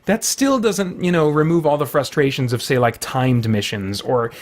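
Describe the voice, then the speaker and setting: A middle-aged man with a slightly high voice chats casually to viewers. He is enthusiastic and rambles through loose asides as he goes. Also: normal speed. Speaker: video host.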